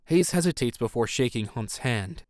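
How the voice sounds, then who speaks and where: A man's voice: medium pitch, expressive, moderate pace, a little mellow, no room sound, studio quality. A man narrating an audio drama in scripted, present-tense prose.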